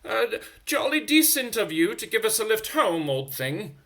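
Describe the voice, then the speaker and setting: Man putting on a chummy, jolly gentleman mouse, pitched up. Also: big pitch swings, moderate pace, exaggerated voice. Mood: ingratiating. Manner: jolly, ingratiating, hesitant. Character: chummy male church mouse, old-fashioned British chap.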